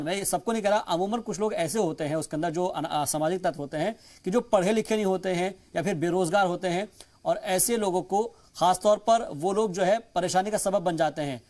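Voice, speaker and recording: A man's voice: high voice, darkish tone. A man moderating a panel as host, wordy and using Urdu-flavoured vocabulary. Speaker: debate show host.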